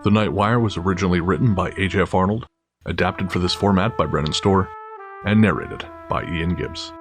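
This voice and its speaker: Male narrator, lowish voice, wry and matter-of-fact, scripted storytelling over music. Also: fairly quick. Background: clearly audible music.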